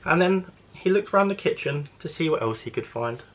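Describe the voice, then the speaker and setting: A man's voice: a little high, animated, normal speed, muffled recording, slight background noise. A man, a primary school teacher, reading a children's storybook expressively to young listeners.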